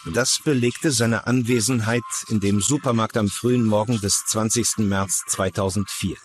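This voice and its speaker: A man's voice: on the low side, steady tone, moderate pace, slight background noise, natural voice. A man dubbing a male prosecutor interviewed in a true-crime documentary. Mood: explanatory. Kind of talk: dubbed documentary interview voice-over.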